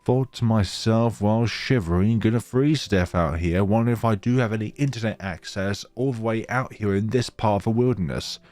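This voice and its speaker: A man's voice: on the low side, brisk, hardly any pauses, a little mellow, dry recording. A man hosting a gaming let's play, reading story text aloud dramatically and dropping in casual asides. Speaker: gaming channel host.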